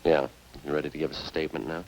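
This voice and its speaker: Casting: no-nonsense radio-era police sergeant, extremely low voice, brisk clipped delivery, dry and unemotional. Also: male voice, little pitch movement, a little mellow, slight background noise, low-quality recording. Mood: dry, firm. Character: terse, businesslike male police sergeant questioning a suspect. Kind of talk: old-time radio police drama acting. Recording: old radio recording with music behind.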